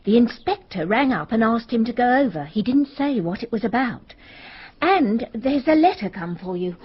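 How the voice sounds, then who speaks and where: A kindly, homely woman in a radio mystery, brisk and matter-of-fact. Her voice is husky and moves around a lot in pitch. The recording is slightly muffled. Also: very animated. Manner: chatty, matter-of-fact, colloquial. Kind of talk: radio drama acting. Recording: dull radio drama recording.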